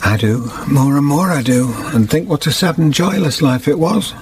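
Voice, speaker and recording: An actor playing a solemn, wounded middle-aged former hermit, speaking gravely and reflectively in a period radio drama. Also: male voice, a little high, normal speed, darkish tone, slight background noise, close to an everyday voice. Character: solemn, wounded older weaver, once a hermit.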